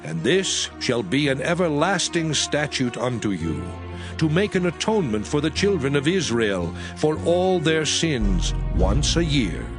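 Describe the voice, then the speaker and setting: Expressive male scripture narrator over music. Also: on the high side, a little slow. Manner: solemn, expressive reading.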